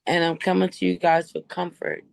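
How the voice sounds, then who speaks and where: A woman in her forties with a raspy voice, speaking earnestly and a bit apologetically on a video support call. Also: on the low side, steady tone, moderate pace, no room sound. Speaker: support group member. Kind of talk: casual group chat.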